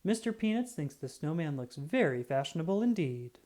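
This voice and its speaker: Low, mellow, animated female storyteller. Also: normal speed. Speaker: children's book reader. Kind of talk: scripted children's storybook read-aloud.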